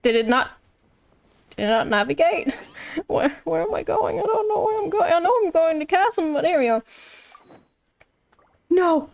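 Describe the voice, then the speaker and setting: A woman's voice: very high-pitched, lively intonation, normal speed, vocal fry, pauses often, a little mellow, highs cut off. A woman streaming a video game with casual, rambling commentary, playfully repeating her phrases. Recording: game music behind. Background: game music.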